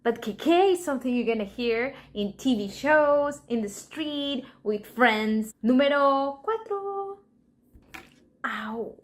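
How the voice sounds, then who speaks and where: A woman's voice: high-pitched, expressive. A Colombian woman, a native Spanish speaker, giving a casual Spanish lesson in English and acting out playful example scenes. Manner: slow, playful, acts out little example scenes. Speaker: Spanish teacher. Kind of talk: casual language lesson to camera.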